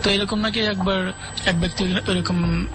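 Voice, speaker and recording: A man's voice: high-pitched, little pitch movement, moderate pace. A man calling in to a radio show, telling ghost stories in a casual, hesitant way with many fillers and restarts. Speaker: caller sharing ghost stories. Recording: radio broadcast with music underneath.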